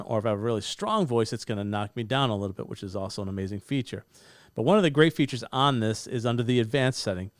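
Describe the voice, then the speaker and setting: Upbeat man with a crisp studio voice. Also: mid-range voice, no echo. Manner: upbeat, demonstrative, talks with his hands. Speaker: reviewer. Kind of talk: enthusiastic gear review. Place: home studio with bookshelves and blue lighting. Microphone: studio broadcast mic on boom arm, close.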